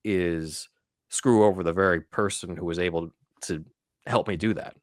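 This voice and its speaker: Deep-voiced man in casual discussion. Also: normal speed, no echo, studio quality. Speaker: podcast host.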